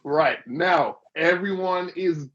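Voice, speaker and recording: Husky-voiced man hosting. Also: a little high, takes it slowly, a bit bright. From Dominica. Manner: playful, teasing, rambling questions. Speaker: interview host. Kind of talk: casual chat. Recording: dull lo-fi recording.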